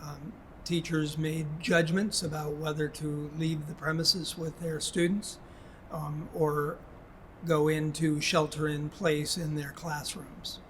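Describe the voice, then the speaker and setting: A man speaking as a school official in a formal public address, in a measured, halting way. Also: fairly high pitch, little pitch movement, a little hiss or hum, poor audio. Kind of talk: public address, prepared statement spoken haltingly.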